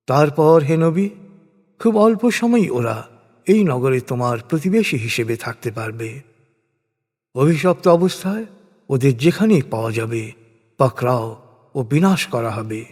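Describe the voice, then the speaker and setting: A man's voice: a little high, a little mellow. Guy reading holy verses all formal and solemn, really melodic up and down, big reverb on it. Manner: measured, formal delivery. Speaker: narrator of scripture translation. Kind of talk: scripted religious narration, solemn recitation of translated verses. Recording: long hall-like reverb.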